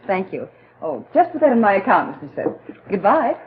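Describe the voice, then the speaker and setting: A woman's voice: medium pitch, big pitch swings, normal speed, creaky. A woman playing a polite, friendly housewife and mother in a 1940s training film. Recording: muffled, hissy 1940s film soundtrack.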